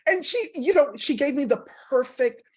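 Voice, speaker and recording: A woman speaking as an academic author in an interview, explaining thoughtfully and haltingly with repeats. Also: high voice, animated, a little mellow. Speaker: professor and author guest.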